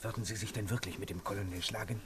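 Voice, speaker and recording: An actor playing a loyal, eager manservant in an audio drama, speaking earnestly and protectively. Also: male voice, on the low side, steady tone, fast, a crowd in the background, lightly acted. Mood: earnest, worried. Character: loyal, eager manservant, ready to defend his master.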